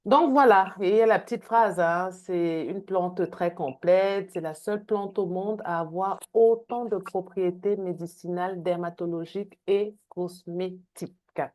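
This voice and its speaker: A woman's voice: fairly low pitch, unhurried, few pauses, darkish tone. A woman giving a product training on a video call, with frequent hesitations and repeated words. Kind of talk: online training talk.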